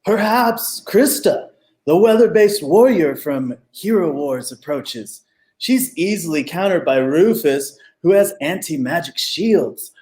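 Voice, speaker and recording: A nerdy, pedantic gamer sidekick acted by a middle-aged man for a comic radio play. The delivery is unhurried but swings widely in pitch. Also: expressive, darkish tone. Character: nerdy, game-obsessed, pedantic male sidekick. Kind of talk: comedic radio play acting over video call. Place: room at home, on a video call.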